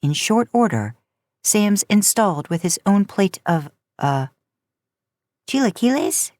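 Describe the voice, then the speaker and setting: A woman narrating a story aloud in a casual, expressive way. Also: fairly low pitch, unhurried, no echo. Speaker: podcast host narrating fan fiction.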